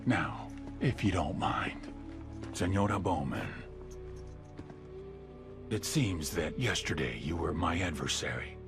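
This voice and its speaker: An actor playing a calm, smug drug lord in a video game, with a deep gravelly voice and mocking, unhurried politeness. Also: male voice, full character voice. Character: huge menacing male drug lord, smug, calm, courtly. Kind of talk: cinematic video game acting.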